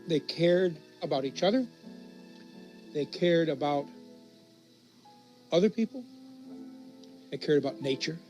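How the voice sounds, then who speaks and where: A man's voice: a little high, animated, measured pace, long pauses, a little mellow, rough recording. A man giving a reflective interview in short, simple phrases. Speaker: interviewee in a documentary. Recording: music under the voice. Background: instrumental music.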